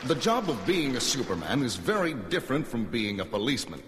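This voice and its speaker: An actor playing a noble, courteous superhero with an earnest, measured delivery. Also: male voice, medium pitch, big pitch swings, fairly bright tone. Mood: gracious, formal. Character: noble, courteous adult male alien superhero. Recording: long hall reverb, faint crowd noise.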